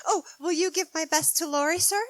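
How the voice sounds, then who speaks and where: A woman's voice: extremely high pitch, a little fast, dry recording. A woman playing an outspoken, spirited young woman in a 1940s-style radio play, talking eagerly and frankly. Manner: eager, chattering, frank, giggly. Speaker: radio play actor and narrator.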